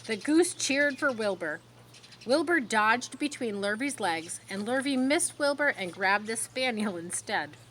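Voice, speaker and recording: Female storybook narrator: very lively, lightish high voice, informal asides, birdsong and faint noise behind. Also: a little slow, rough recording. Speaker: storybook reader.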